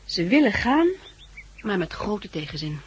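A woman acting a thoughtful, gentle survivor's wife in a 1960s radio drama, expressive and earnest. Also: medium pitch, a little slow, husky, highs cut off, heavily acted. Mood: wistful, troubled. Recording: faintly noisy 1960s radio recording.

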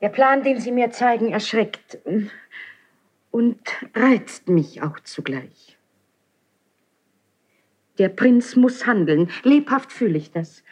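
A woman's voice: fairly high pitch, animated, slow, long pauses, darkish tone. An actress playing a dignified, guarded queen in a classical verse radio drama, with stately and restrained delivery. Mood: stirred, resolute.

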